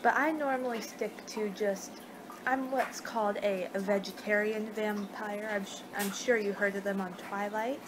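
A young woman chats casually through an ASMR roleplay as a friendly vampire host. She rambles and hesitates at a middling pitch. It is a home webcam recording with clear background noise. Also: fairly even intonation, normal speed. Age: 20s. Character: friendly young two-hundred-year-old female vampire hosting a guest. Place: small room at home.

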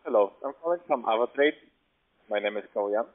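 A man's voice: medium pitch, lively intonation, brisk. A man with a mid-range voice speaks as a call-centre agent over a thin, tinny phone connection. His delivery is hesitant and apologetic. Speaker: cold caller for trading firm. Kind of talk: scripted call-centre talk, then apologetic.

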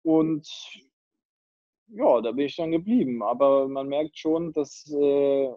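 A man's voice: medium pitch, takes it slowly, dark tone, dry recording, muffled recording. A young man from northern Germany, a handball player speaking casually on a video call through earbuds, hesitating with fillers and restarts as he thinks aloud. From northern Germany, Kiel area. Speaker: handball player, interview guest.

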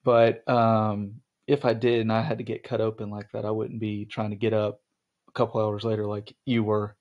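A man's voice: fairly low pitch, little pitch movement, normal speed, a little mellow, dull audio. Rambling young man podcast host. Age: young adult. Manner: rambling, many ums and restarts. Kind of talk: casual chat with his wife.

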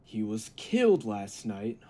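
A man playing an earnest laboratory assistant in a 1940s-style radio drama, formal and measured. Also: on the low side, big pitch swings, dark tone. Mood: calm, reassuring. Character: earnest, calm male laboratory assistant scientist.

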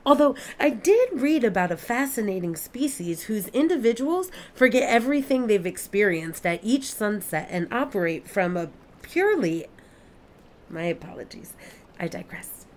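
A woman's voice: mid-range voice, very animated, moderate pace, close to an everyday voice. A woman playing an earnest, curious, newly adult alien in an audio play, speaking in formal, precise, stilted sentences. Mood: awed, eager.

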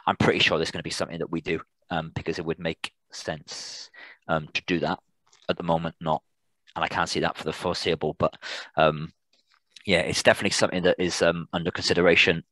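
Low, even-toned British man. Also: quick pace, no room sound, rough recording. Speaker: air traffic controller, guest presenter. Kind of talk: informal question-and-answer after a presentation. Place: online video call.

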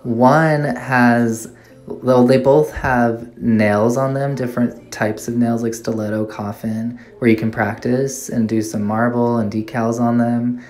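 A woman's voice: extremely low pitch, steady tone, unhurried, a little mellow. A woman narrating a casual shopping haul vlog as a nail art YouTuber, gushing with enthusiasm as she shows her finds in a store and at her home desk.

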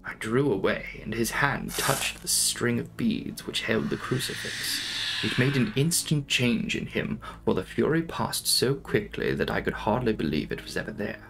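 A young man giving a dramatic, brooding reading of a gothic novel, with theatrical, deliberate phrasing. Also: medium pitch, lively intonation, gravelly, a bit bright, soft background music, low-quality recording. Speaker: audiobook narrator.

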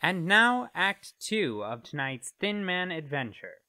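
A man's voice: fairly high pitch, sing-song, takes it slowly, bright, forceful tone. A man as announcer, narrating a radio drama in a theatrical old-time style.

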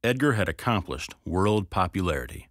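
A man giving measured, scripted documentary narration. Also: low voice. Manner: even, measured reading. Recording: music bed behind.

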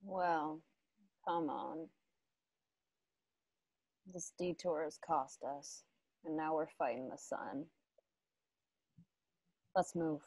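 A woman playing a guarded, grieving widow in a western radio play, speaking in halting, understated phrases. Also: low-pitched, steady tone, darkish tone, slightly rough, dry recording, exaggerated voice, poor audio. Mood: weary, brisk. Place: home, on a group video call.

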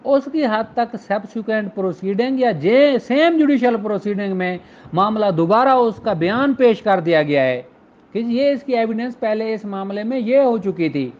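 A man's voice: sits high, animated, normal speed, darkish tone. A man with a Pakistani accent giving an informal law lecture, explaining things and mixing in English legal terms. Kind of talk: informal lecture, reading statutes aloud and explaining them. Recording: dull lo-fi recording.

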